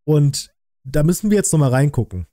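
A man's voice: fairly high pitch, very animated, a little fast, mellow, dry recording, clean studio sound. A man in his thirties giving casual, slangy live reaction commentary as a video host. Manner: colloquial, slangy.

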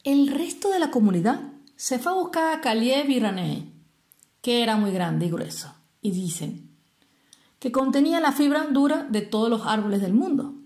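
A woman from Venezuela with a Venezuelan Spanish accent, telling a traditional tale expressively and explaining things as she goes, with deliberate pauses. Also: on the high side, lively intonation, darkish tone. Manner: didactic, explains terms with rhetorical questions and pauses. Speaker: storyteller.

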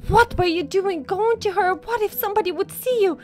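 A woman's voice: very high voice, brisk. A woman playing a scheming, guilt-tripping mother in an amateur role-play story, with an emphatic way of talking. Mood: indignant, wounded.

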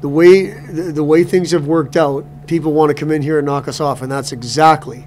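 Halting middle-aged coach at a press conference. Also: male voice, a little high, steady tone, darkish tone, a little hiss or hum. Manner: halting, repeats words, many restarts. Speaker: hockey coach. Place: press room before a sponsor backdrop.

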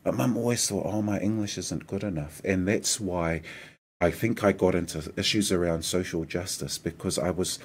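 Deep-voiced persuasive New man. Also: normal speed, breathy edge. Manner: earnest, persuasive, animated hand gestures. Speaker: mayoral candidate guest. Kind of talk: conversational interview. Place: small studio with decorated backdrop.

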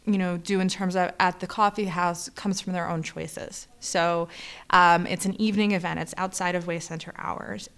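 A woman's voice: fairly low pitch, a bit bright. A woman in her thirties talking in a documentary interview as a staff member of a program. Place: indoors, furnished room.

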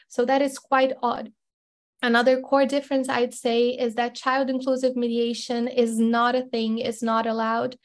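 Woman, 30s, lawyer on a webinar call; slightly high, steady and level delivery. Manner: thoughtful, explanatory, frequent restarts and fillers. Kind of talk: expert webinar talk. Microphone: laptop mic on video call.

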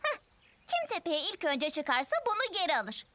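A woman's voice: very high voice, wildly swooping pitch, normal speed, noisy background, full character voice. A woman voicing a spirited young ninja girl in a children's cartoon, exclaiming in a childlike way. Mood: urgent. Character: spirited little ninja girl who transforms into warrior panther. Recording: loud music behind. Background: cartoon music.

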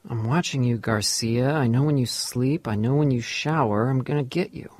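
A man's voice: mid-range voice, normal speed, a little mellow, dry recording, clean studio sound, close to an everyday voice. An actor playing a worried male shipmate in a radio drama. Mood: uneasy. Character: worried shipmate reading out a threat note.

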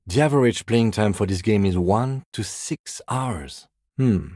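An actor playing a calm, methodical middle-aged police lieutenant in a video game, measured, understated and dryly wry, with a husky voice. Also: male voice, lowish voice, no echo, clean studio sound. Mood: composed, wry. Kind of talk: video game character dialogue.

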